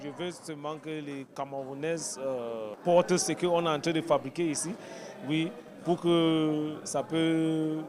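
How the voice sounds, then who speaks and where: A man's voice: a little high, fairly even intonation, unhurried. A man at an event speaking with enthusiasm. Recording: crowd noise behind.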